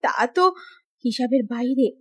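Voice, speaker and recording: A humbled young sister-in-law in a family cartoon, played by a woman. Her voice is high and earnest, with a pleading, remorseful manner. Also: moderate pace, husky. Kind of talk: Bengali moral cartoon voice acting.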